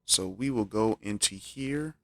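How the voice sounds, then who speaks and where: Male game streamer, lowish creaky voice, casual, thinking aloud, faint music behind. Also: measured pace, pushed and bright. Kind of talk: casual gameplay commentary.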